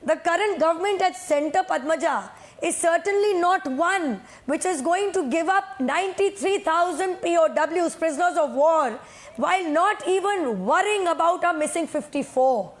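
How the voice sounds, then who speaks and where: A woman speaking as a panelist in a heated TV news debate, insistent and pushing through interruptions, her voice going hoarse. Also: extremely high pitch, animated, moderate pace. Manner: insistent, talks over interruptions. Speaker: political panelist.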